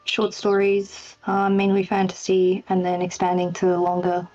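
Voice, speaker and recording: A woman's voice: medium pitch, completely flat delivery, unhurried, darkish tone. A woman answering questions in a casual chat as a game writer, hesitant and self-deprecating, on a lo-fi call. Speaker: game writer and project lead. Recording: slightly dull lo-fi online call. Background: background music.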